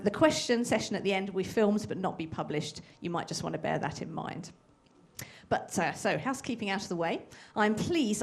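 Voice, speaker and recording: Brisk woman introducing an evening talk. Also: mid-range voice. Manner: brisk, businesslike announcing. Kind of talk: formal event introduction.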